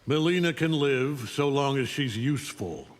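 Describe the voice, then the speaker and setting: A man's voice: mid-range voice, lively intonation, normal speed, natural voice. A man performs an imperious caped alien conqueror in his middle years, built for a fighting game. He threatens and talks down to everyone, with a long hall reverb around the words. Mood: cold, domineering. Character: arrogant, menacing middle-aged alien superhuman conqueror in a cape. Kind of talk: video game fight-intro dialogue.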